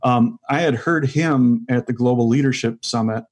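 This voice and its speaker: A man's voice: mid-range voice, moderate pace, a little mellow. A man in his forties, a stand-up comedian guest, chatting casually in an interview with many fillers and restarts. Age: 40s.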